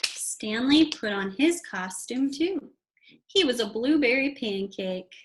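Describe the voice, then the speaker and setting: A woman reading a children's storybook aloud with deliberate, expressive delivery. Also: a little high, background noise.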